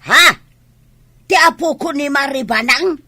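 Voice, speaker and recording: An actress plays a scolding village woman with a sharp, forward voice pitched fairly high. She is feisty and insistent, with an Ilocano accent, in a radio comedy drama. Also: unhurried, no room sound. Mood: suspicious, indignant.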